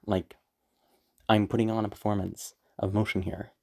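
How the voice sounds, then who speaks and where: A woman with a low, deep, mellow voice talks through a casual afterword. She rambles chattily and laughs often. She is a little sick, so her throat sounds worn. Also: little pitch movement, moderate pace. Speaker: audio roleplay creator. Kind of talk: casual spoken afterword, explaining.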